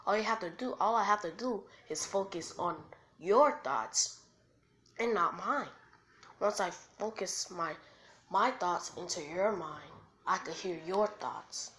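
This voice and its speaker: A woman's voice: on the low side, unhurried, fairly bright tone. A woman voicing a five-year-old ninja boy in an anime fan story, defiant, inquisitive and insistent. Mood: confident. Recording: faint background noise.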